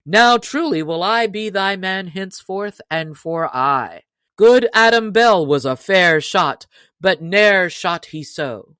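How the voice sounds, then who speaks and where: A woman's voice: fairly low pitch, lively intonation, slow, a bit bright, clear, resonant tone, dry recording. A woman reading a storybook, voicing a surly, proud young male archer with blunt, old-fashioned speech. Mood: impressed.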